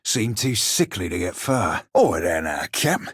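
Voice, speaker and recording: An actor playing a small, roguish, salty pirate shiphunter in a video game, with a husky, rough voice and wry nautical slang. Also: male voice, sits low, sing-song, normal speed, no echo, studio quality, exaggerated voice. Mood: wry, teasing. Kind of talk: video game character voice lines.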